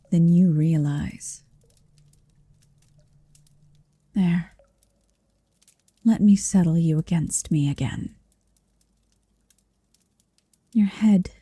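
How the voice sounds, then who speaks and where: Woman as a slow, breathy, possessive mother dragon. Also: on the low side, warm tone, no echo. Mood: possessive, teasing. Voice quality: breathy, whispery. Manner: slow, deliberate, intimate. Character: possessive, seductive motherly female dragon hoarding her captive. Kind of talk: ASMR roleplay. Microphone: close microphone, dry.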